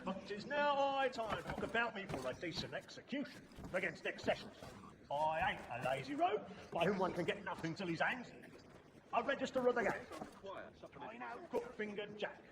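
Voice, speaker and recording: Theatrical scheming crime boss, lively pitch. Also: male voice, moderate pace, noisy background. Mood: calculating, appraising. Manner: declamatory, archaic English phrasing. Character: brisk, scheming 18th-century crime boss on a theatre stage. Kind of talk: theatrical period stage acting. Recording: loud music over the voice.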